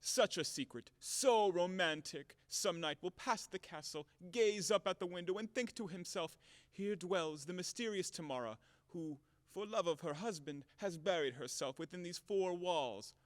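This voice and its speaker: An actor playing a brash, hot-tempered, blunt creditor in an audio play of a classic farce, with a blustering, theatrical, emphatic manner. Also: male voice, sits high, normal speed, no room sound, studio quality, long reverb tail, natural voice. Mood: mocking, indignant.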